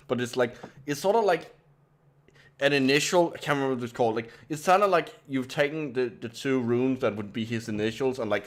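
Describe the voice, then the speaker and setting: A man from Denmark whose first language is Danish, talking casually on a group podcast, with halting speech full of "like" fillers. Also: medium pitch.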